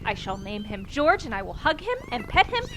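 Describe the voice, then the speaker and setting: An excitable daredevil space pilot , played by a woman, in a sci-fi radio comedy. Her voice is high and leaps all over the place. Steady noise sits under the recording. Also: a little fast. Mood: gushing. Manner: excitable, gushing. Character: thrill-seeking young woman space pilot, gushing. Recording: clear background noise.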